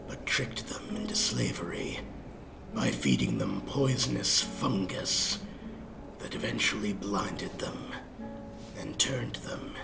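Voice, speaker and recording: A man voicing a proud old male pirate who tells lore in a slow, hushed, gravelly whisper. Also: sits low, exaggerated voice. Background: game music.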